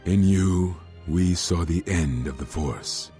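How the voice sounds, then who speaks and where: An actor playing a brooding, grave Jedi master in a video game, with a weighty, ominous delivery. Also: male voice, low voice, dark tone, soft background music. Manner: slow-burning, ominous, weighty. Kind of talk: dramatic video game dialogue.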